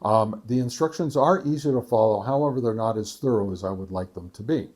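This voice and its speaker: A man hosting a casual product test, talking in a methodical, halting way and reading out figures deliberately. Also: fairly low pitch, a little mellow. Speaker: video host, radio reviewer.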